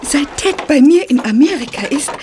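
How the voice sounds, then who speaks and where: An actress playing a genteel, wistful older great-aunt who owns an estate, speaking theatrically in an audio drama. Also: female voice, sits high, animated, moderate pace, background noise. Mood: concerned. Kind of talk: German audio drama acting.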